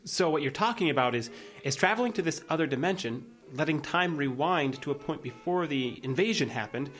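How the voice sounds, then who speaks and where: A man's voice: fairly high pitch, lively intonation, fairly quick, a bit bright, soft background music. An actor playing an earnest, cautious young man in a resistance group, in an audio drama. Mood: curious, keen.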